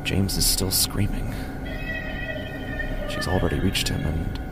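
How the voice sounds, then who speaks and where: Deep, gravelly, brooding father acted by a man. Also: flat delivery, slow, loud music behind the voice. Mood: tense, agitated. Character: uneasy, brooding father narrating his own horror story. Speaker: narrator and lead character of a horror audio drama. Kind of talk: first-person horror audio drama narration.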